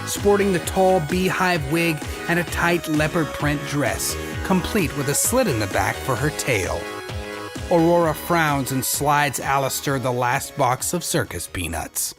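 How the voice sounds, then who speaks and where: Music plays clearly behind a man narrating a scripted fantasy story. His voice is on the high side, with a dramatic storyteller's delivery. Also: animated, unhurried.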